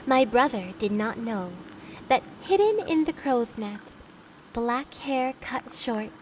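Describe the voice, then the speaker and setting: A woman playing a bitter, adventurous young seafarer in an audio drama, recounting her youth in deliberate, theatrical storytelling. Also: fairly high pitch, animated, a little hiss or hum, poor audio. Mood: wry, triumphant. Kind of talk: scripted dramatic monologue narration.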